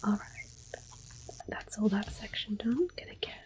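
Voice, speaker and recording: A woman guides listeners through a video game in a hushed, breathy whisper. Her pace is unhurried and her tone stays gentle and chatty, with a few brief exclamations when something goes wrong. Her pitch sits at an ordinary middle height. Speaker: gaming ASMR host. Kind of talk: soft whispered game commentary.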